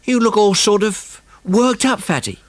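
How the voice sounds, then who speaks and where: A man voicing an excitable working-class boy, eager and bossy, in a children's audiobook. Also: sits high, a little slow, no room sound, voice pushed up. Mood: surprised, dawning excitement. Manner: colloquial, exclaiming, eager. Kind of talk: dramatised children's audiobook acting.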